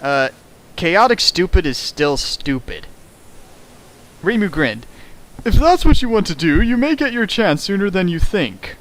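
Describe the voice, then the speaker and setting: Animated man riffing a fanfic aloud. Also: high voice, unhurried, rough recording. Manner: sarcastic, exasperated, shifts into mock voices. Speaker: fanfic reader and riffer. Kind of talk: dramatic reading with mocking commentary. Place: home streaming setup.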